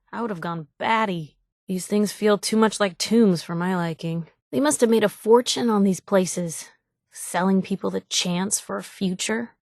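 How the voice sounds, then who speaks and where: A woman's voice: mid-range voice, no room sound, studio quality, natural voice. A woman playing a wry, inquisitive young reporter companion in a video game, with an American accent and a chatty, sarcastic way of talking. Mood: wry, teasing. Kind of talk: video game companion dialogue, conversational asides.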